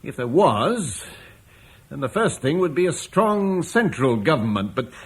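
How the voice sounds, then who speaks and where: A proud, earnest Roman-British landowner, arguing his case with conviction in a radio drama. His husky voice sits mid-range and swings through animated pitch. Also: measured pace, heavily acted. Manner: earnest, persuasive. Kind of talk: 1950s radio play acting.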